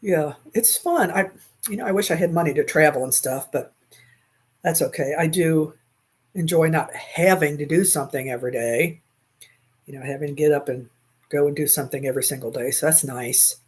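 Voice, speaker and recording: A woman's voice: sits low, moderate pace, a little mellow, slightly rough. A talkative woman in her sixties chats easily through a webcam in a small room at home. She laughs often and answers her viewers' comments while she crochets. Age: 60s. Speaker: crochet livestream host. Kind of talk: casual chat while crocheting. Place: small bedroom at home, indoors. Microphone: webcam at desk.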